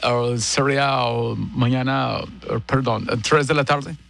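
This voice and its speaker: A man playing a polite, bumbling American businessman who speaks halting, broken Spanish full of fillers and slips into English. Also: mid-range voice, steady tone, gravelly, a bit bright. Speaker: prank caller.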